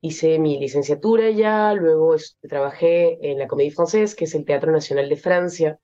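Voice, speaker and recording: A young Peruvian woman with a Peruvian Spanish accent, an actress being interviewed, speaking thoughtfully with many pauses and fillers. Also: low voice, dark tone, highs cut off. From Peru. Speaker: actress guest. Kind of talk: interview conversation.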